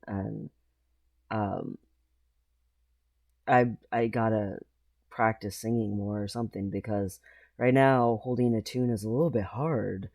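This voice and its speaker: A man with a low, mellow voice talks slowly and haltingly in a casual vlog. He rambles and pauses often. The sound is dry and close. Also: gravelly, dry recording. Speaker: vlogger.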